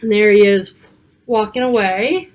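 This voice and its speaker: A woman reading a picture book aloud to children, expressive, with casual asides about the pictures. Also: medium pitch, a little slow. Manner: child-directed, expressive, stretching key words and pointing out pictures.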